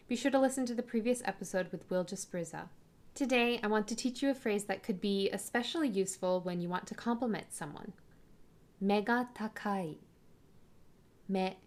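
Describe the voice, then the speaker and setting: Woman hosting a podcast, careful and clear. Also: mid-range voice. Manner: slow careful spelling-out of foreign words. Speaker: podcast host. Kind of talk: scripted podcast intro and language lesson.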